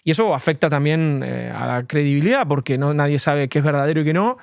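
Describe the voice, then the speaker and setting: A man's voice: on the high side, fast talker, creaky, clear, resonant tone, no room sound, studio quality. A man, an immigration lawyer, answering interview questions earnestly and at length, with frequent fillers.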